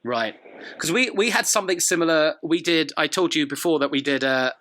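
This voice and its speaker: A man's voice: on the high side, expressive, a little fast, sharp tone. A man hosting an interview, asking curious questions with hesitant restarts. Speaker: interviewer host. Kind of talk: conversational interview.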